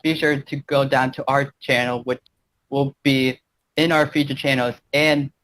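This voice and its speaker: A man's voice: medium pitch, flat delivery, unhurried, a bit bright, low-quality recording. A man hosting and narrating a fan fiction as an amateur reader, casual and occasionally stumbling over words. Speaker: channel host and story narrator.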